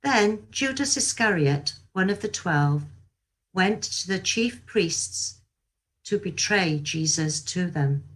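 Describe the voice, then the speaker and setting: Slow-paced woman reading scripture. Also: lowish voice, lively intonation, fairly bright tone. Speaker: scripture reader. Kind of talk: Bible reading, scripted narration. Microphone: video call.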